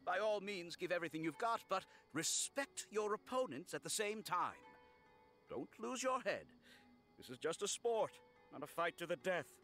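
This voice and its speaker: A man's voice: sits high, lively intonation, moderate pace, fairly bright tone. A man playing an earnest, courteous, idealistic tournament patron making formal speeches in a video game. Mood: earnest, encouraging. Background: game music.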